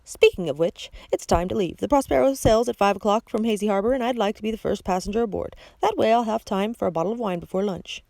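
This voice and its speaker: A woman's voice: medium pitch, quick pace, gravelly, hardly any pauses, darkish tone, no echo, lightly acted. A woman voicing a sneering villainous man. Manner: mocking, sneering, theatrical. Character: scheming menacing male villain, adult. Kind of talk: audiobook character reading.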